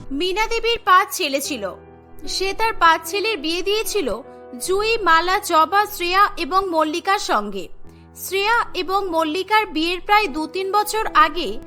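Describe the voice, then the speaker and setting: A woman's voice: very high-pitched, expressive, a little slow, bright, forceful tone. A woman narrating a scripted cartoon family story. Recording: music bed behind the voice.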